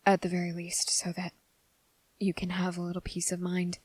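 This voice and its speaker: Woman as a breathy, halting young male trainee. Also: on the low side, completely flat delivery, dry recording, natural voice. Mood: anxious, earnest. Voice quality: soft, breathy, slipping into whisper. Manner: halting, hesitant, often pausing mid-sentence. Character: nervous, earnest, gentle young male trainee confessing his feelings. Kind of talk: ASMR roleplay addressed to the listener.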